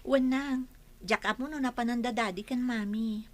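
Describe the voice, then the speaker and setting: A woman's voice: a little high, fairly even intonation, moderate pace, no room sound, lightly acted. A woman with an Ilocano Filipino accent playing a patient young married daughter who soothes, in a radio drama. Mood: welcoming.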